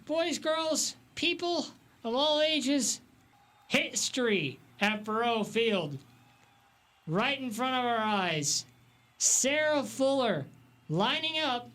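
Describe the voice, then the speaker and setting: A man's voice: extremely high pitch, pushed and bright. Emphatic male sports broadcaster. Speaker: sports reporter and play-by-play announcer. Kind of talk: broadcast sports reporting and commentary.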